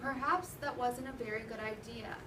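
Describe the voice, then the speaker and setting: A plaintive toy duck from a children's storybook, played by a woman reading aloud. The voice is high and apologetic, heard from far off over clear room noise. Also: steady tone, moderate pace, natural voice. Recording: roomy, noisy classroom from far off.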